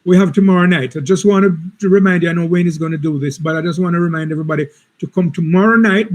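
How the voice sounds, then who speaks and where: A man's voice: high voice, a little mellow. A man presenting a seminar, earnest, with frequent repeated words and restarts. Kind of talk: reflective seminar talk.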